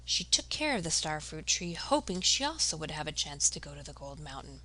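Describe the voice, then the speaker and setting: A woman storyteller giving a dramatic, expressive scripted narration of a folktale. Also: low voice, brisk, low-quality recording.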